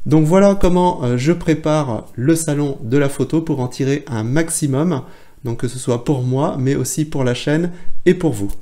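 Mellow-voiced man chatting to camera. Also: fairly high pitch, moderate pace. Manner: chatty, with many fillers and restarts. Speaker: photography blogger and trainer. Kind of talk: casual video blog to camera.

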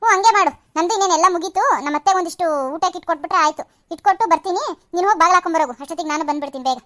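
A woman's voice: extremely high pitch, racing, fairly bright tone. A woman voicing a chatty village woman talking about farm work. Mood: friendly. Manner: rustic village speech.

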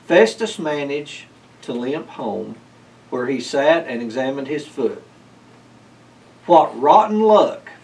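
A man's voice: medium pitch, a little slow, far from the mic, low-quality recording. A middle-aged man narrating a children's storybook aloud in a library room. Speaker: library board chair reading aloud. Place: indoors, library room with bookshelves.

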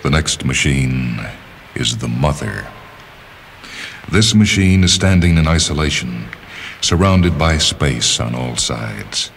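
A man narrating literary fiction as a dramatic reading, in a measured, deliberate manner with pauses. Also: extremely low pitch, lively intonation, gravelly, a bit bright, slight background noise. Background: music.

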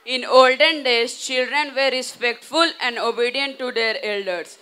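Bright-voiced teen boy, Indian accent. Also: extremely high pitch, measured pace, clear, resonant tone. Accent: Indian English. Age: teens. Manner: recited, declamatory delivery. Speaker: student panelist. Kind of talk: rehearsed school panel discussion. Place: outdoor school stage. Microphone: handheld mic.

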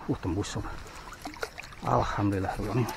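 A man vlogging while slingshot fishing on a riverbank, giving excited running commentary. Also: lowish voice, moderate pace, gravelly, background noise. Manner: excited exclamations and frequent thanks to God. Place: outdoors on a riverbank in hot sun. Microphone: head-mounted action camera.

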